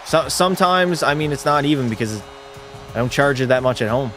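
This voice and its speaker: A male streamer giving casual play-by-play of a hockey game and answering his chat in a relaxed way. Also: mid-range voice, a little fast, faint music behind. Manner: relaxed, drops into terse hockey call mode.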